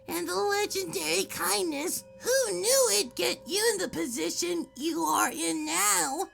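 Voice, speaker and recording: A man's voice: very high-pitched, a little slow, gravelly, fairly bright tone, faint music behind, voice pushed up, exaggerated voice. A man voicing a sly, sarcastic villainous pony with a mocking, theatrical delivery.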